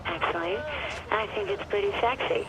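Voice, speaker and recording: A woman's voice: medium pitch, moderate pace, close to an everyday voice. Actress as a mock-sweet, cruel gangster's girlfriend. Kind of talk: video game cutscene acting. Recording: clear background noise.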